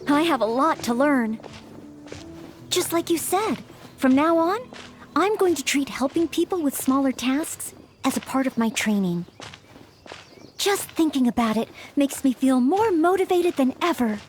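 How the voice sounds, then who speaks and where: Game role: young maid aspiring to knighthood; high, animated, gentle, formal, eager to please. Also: female voice, a little slow. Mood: earnest. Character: earnest, polite young maid training to be a knight. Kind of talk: video game voice acting.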